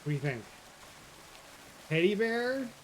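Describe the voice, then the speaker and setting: A man's voice: sits high, big pitch swings, unhurried, a little hiss or hum, low-quality recording. A man from New York chatting casually with his viewers while streaming a game, answering chat as he plays. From New York, USA. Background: faint game music.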